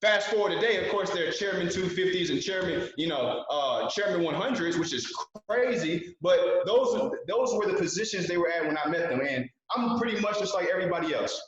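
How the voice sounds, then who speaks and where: A man's voice: high voice, expressive, brisk, hardly any pauses, fairly bright tone, a little hiss or hum. A man in his twenties giving an earnest motivational talk as a guest speaker on a video call, repeating key phrases for emphasis. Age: 20s. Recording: very echoey, dull video call audio.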